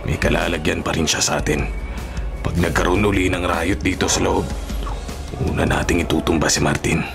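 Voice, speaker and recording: Filipino radio drama: vengeful prison gang boss, gravelly and threatening, loud music underneath. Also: male voice, sits low, steady tone, moderate pace. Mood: brooding, plotting. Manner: menacing, threatening. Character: vengeful, hot-headed male prison gang leader.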